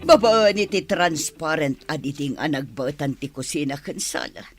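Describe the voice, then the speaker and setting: A woman acting an older bossy village mother in a radio drama, with an Ilocano accent and a commanding, expressive manner. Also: low-pitched, moderate pace, music in the background, lightly acted. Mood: scheming, bossy.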